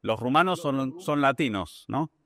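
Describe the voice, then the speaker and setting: Male podcast interviewer, medium pitch. Polite, conversational, explanatory, with short check-in questions. Dry, close sound. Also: animated, measured pace, a bit bright, no room sound.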